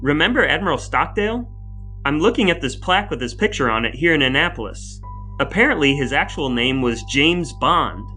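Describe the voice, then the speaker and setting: A man's voice: a little high, lively intonation, brisk, bright, forceful tone, soft background music. A man co-hosting a podcast, moving between scripted narration and banter in a wry, playfully formal and wordy manner. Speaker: podcast co-host.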